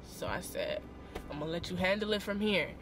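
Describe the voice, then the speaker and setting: A young woman vlogger telling a story in a parked car, expressive and dramatic, full of slang and quick asides, filmed by a camera on the dashboard. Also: on the low side, music in the background. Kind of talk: animated storytime chat.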